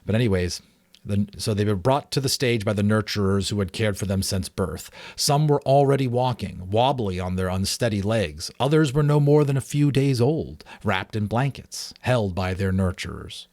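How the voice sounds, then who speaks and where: A man's voice: on the low side, lively intonation, normal speed, a little mellow, no echo. A man reading a story aloud in an informal, dramatic way, sometimes stumbling and correcting himself. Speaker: amateur audiobook reader.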